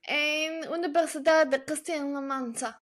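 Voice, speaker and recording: A woman from Bulgaria chatting casually as an interview guest, thinking aloud as she answers in a measured way. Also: high-pitched, steady tone. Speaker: podcast guest, illustrator and digital artist.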